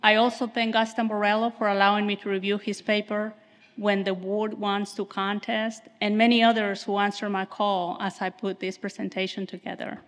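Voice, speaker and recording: A woman attorney speaks softly and carefully into a podium microphone, giving a formal presentation at a conference. Her voice sits at an ordinary middle pitch. A long reverberation from the hall trails behind her words. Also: little pitch movement, moderate pace. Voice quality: soft voice.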